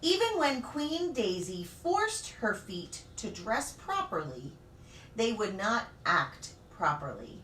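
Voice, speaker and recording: Female storybook reader for preschoolers, very lively intonation, deliberate pauses; roomy, distant recording. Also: mid-range voice. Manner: deliberate phrasing with dramatic pauses. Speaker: pastor reading children's stories. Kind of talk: expressive storybook read-aloud for preschoolers. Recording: roomy, distant mic with faint noise.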